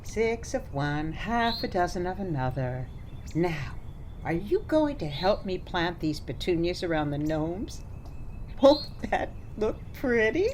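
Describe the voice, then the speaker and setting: A woman's voice: on the low side, big pitch swings, slow pace, darkish tone, slight background noise. A woman playing a sweet, chatty, meddling elderly mother in a radio play, coaxing and cheerfully oblivious. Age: older.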